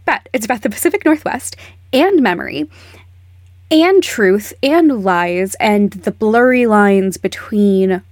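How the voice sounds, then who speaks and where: A woman with a medium-pitched voice recommends things to podcast listeners in an intimate way. She uses dramatic pauses and soft endings, recorded very dry and close. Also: expressive, dry recording. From a desert region. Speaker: podcast contributor giving recommendations.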